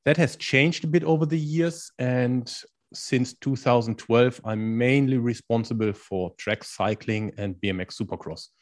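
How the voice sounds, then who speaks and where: German-accented male coach in his forties, calm explainer. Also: mid-range voice, a little slow. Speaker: guest, strength and conditioning coach. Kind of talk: podcast interview answers in English.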